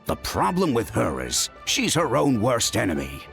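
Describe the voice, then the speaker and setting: An actor playing a stern but caring middle-aged father, world-weary and emphatic, with a gravelly voice, in a video game. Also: mid-range voice, wildly swooping pitch, moderate pace, fairly bright tone, full character voice. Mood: worried, weary. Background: background music.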